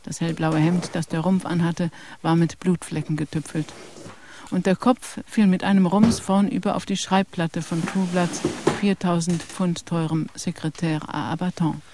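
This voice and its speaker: A narrator reading a radio crime story in measured scripted narration. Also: mid-range voice, flat delivery, background noise.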